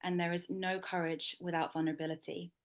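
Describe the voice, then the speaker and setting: A woman hosting and moderating an online panel over a video call, speaking in a soft, measured way with frequent ums. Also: lowish voice, level tone.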